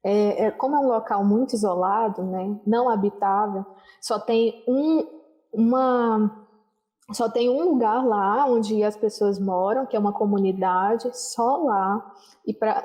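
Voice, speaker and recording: A young adult woman talks as an interview guest, her voice mellow and soft-edged. She rambles easily through her stories, dotting them with little tag questions. Her pitch sits in the ordinary middle range. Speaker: guest, social worker. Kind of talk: casual interview storytelling.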